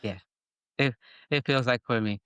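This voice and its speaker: A man from Venezuela with a Venezuelan Spanish accent, a podcast host who speaks in a halting way, restarting and repeating words. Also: medium pitch, fairly bright tone, clear, resonant tone, no echo. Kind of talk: casual interview conversation.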